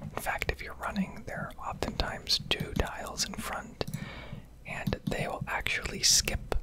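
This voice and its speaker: Whispering woman, ASMR game commentary. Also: unhurried. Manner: rambling, explanatory. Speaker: gaming video creator.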